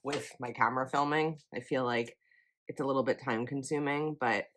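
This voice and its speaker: Young woman vlogger, low voice, slightly froggy, rambling and casual. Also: steady tone, normal speed, fairly bright tone. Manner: chatty, rambling with likes and ums. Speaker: planner vlogger. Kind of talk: casual chat while decorating a planner.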